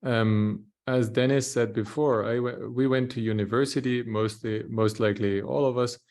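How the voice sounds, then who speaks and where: A man from Austria, a panelist telling his story in a reflective, measured way. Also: medium pitch, fairly even intonation, darkish tone. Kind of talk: panel discussion.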